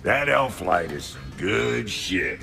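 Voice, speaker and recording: An actor voicing a brash, foul-mouthed dwarf craftsman in a video game, blunt, gruff and folksy. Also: low-pitched, sing-song, takes it slowly, sharp tone, soft background music, heavily acted. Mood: crude, cheeky. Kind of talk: cinematic video game acting.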